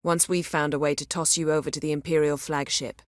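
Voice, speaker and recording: A woman voicing a proud, sharp-tongued sorceress in a video game, speaking crisp, formal with an imperious, sardonic manner. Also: low voice, level tone, a little fast, dry recording, studio quality, lightly acted. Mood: cool, commanding. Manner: crisp, formal, haughty and biting. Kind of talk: video game character dialogue.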